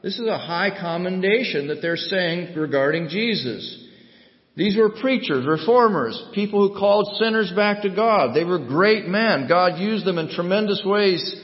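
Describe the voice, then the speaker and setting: A man's voice: high-pitched, animated, measured pace. An emphatic male pastor delivers a sermon, firing off rhetorical questions and playing out little scenes. His voice carries through a roomy church with a long tail of reverb. The sound is dull and muffled. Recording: echoey muffled hall recording.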